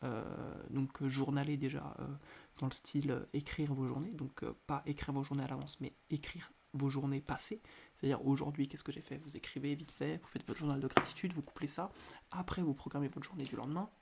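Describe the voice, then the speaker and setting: A man hosting a casual solo podcast, talking quietly at home with a stuffy nose from a cold, hesitating often with filler sounds. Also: medium pitch, flat delivery, brisk, gravelly, breathy edge. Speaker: podcast host. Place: indoors at home at night.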